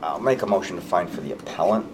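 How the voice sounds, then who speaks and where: Low male board member, practical and brisk, noisy hearing mic. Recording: noisy meeting-room mic.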